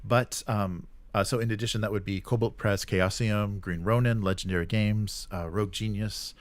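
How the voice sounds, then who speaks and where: A man with a fairly low voice hosts a casual news discussion. He reads announcements and adds comments in a halting, agreeable manner. The sound is dry and close. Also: fairly even intonation, no room sound. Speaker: show host.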